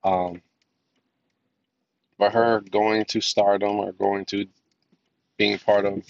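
A man's voice: fairly low pitch, little pitch movement, slow pace, vocal fry, no echo, recorded from a distance. A man hosting a podcast alone, rambling casually with lots of filler words, his voice nasal from a lingering cold. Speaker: podcast host. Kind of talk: casual solo podcast talk. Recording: dull lo-fi, a bit off-mic.

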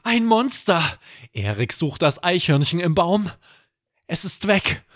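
Male podcast storyteller for children's bedtime tales; unhurried, very animated, dry recording. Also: high-pitched, dry recording. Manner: expressive, dramatic storytelling. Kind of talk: scripted children's bedtime narration.

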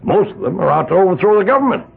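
Gravelly pompous island patriarch. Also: male voice, sits high, big pitch swings, very fast, heavily acted. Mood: argumentative. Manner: pompous, hesitant restarts. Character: overbearing older island patriarch, genial but menacing host. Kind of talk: 1970s radio drama acting. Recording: tinny lo-fi old radio broadcast with faint hiss.

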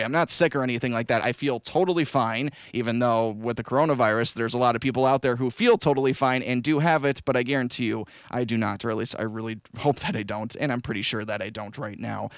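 A man's voice: fairly high pitch, dry recording, studio quality. A young man hosting a local radio call-in show, talking casually with lots of fillers and reading ads. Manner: chatty and rambling, with many fillers. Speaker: radio host. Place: radio studio. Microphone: studio microphone.

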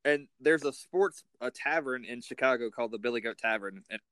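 A man's voice: mid-range voice, brisk, a bit bright, no room sound. A man hosting a casual sports interview as the interviewer.

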